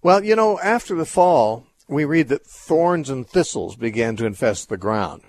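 A man's voice: mid-range voice, sing-song, moderate pace, darkish tone. A man hosting a radio call-in show, answering Bible questions in a thoughtful, explanatory way with frequent restarts.

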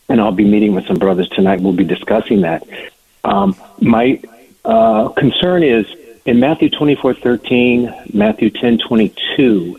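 Husky-voiced male caller on a phone line. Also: lowish voice. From Southern California. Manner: polite, reads out scripture references. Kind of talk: asking a Bible question on call-in radio. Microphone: telephone.

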